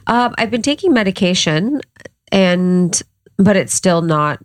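A woman's voice: lowish voice, animated, unhurried, no room sound. A young woman co-hosting a podcast, chatting casually and laughing while she sounds stuffed up and congested. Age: young adult. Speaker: podcast co-host. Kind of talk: casual chat.

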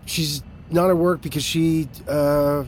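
A man's voice: fairly even intonation, slow pace. An actor playing a harried, short-tempered American father in middle age, stammering and evasive as he hides his worry. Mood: anxious, evasive. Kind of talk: naturalistic audio drama acting. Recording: clear car engine rumble behind. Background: car interior, traffic ambience.

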